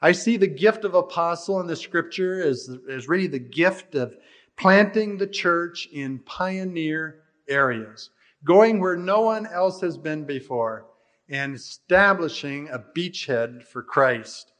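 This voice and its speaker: An older American man preaching an expository sermon in a measured, deliberate way, stressing phrases and letting the endings fall away. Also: a little high, expressive, fairly bright tone. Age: older, about 60. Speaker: pastor. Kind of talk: expository sermon with scripture readings.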